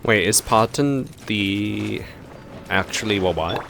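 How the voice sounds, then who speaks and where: A woman's voice: rumbling low, takes it slowly, vocal fry. A woman streamer giving casual, informal reaction commentary on a game, with wry asides. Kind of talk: casual live gameplay commentary. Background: game music.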